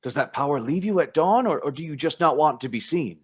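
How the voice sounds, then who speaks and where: Young man, curious, polite, easily flustered, lively swooping pitch, radio play. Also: a little fast, breathy edge. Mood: curious, musing.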